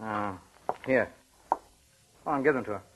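A man playing a menacing intruder in a radio suspense drama, speaking with formal mock courtesy and hesitant pauses. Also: lowish voice, noisy background. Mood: controlling. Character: menacing, mannered, scarred male intruder posing as guest. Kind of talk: old-time radio suspense drama acting.

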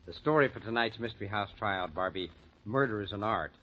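An actor in 1940s radio style playing an eager, genial publisher running story tryouts. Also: male voice, on the low side, brisk, natural voice. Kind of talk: 1940s radio acting, scripted banter. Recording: muffled old radio recording with music.

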